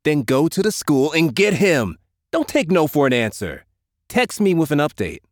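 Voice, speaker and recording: A man's voice: on the high side, big pitch swings, moderate pace, dry recording, studio quality, voice pushed up. A man voicing a cool, aloof, self-interested young gang leader in an audiobook. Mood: bossy. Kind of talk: audiobook character dialogue.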